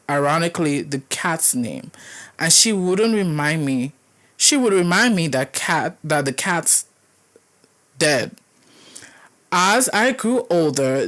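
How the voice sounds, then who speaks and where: A young woman, reading horror stories aloud and breaking in with dramatic, reactive commentary, often stumbling over the typos. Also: deep voice. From Caribbean.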